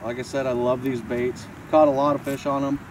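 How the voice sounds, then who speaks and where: A man's voice: medium pitch, little pitch movement, a little fast. A man in his thirties giving a casual, practical fishing lesson outdoors, explaining while he handles his gear. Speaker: fishing instructor. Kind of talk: casual outdoor instructional demo. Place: outdoors on a boat and a snowy riverbank. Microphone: camera mic from a few feet away. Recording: distant camera mic, faint outdoor noise.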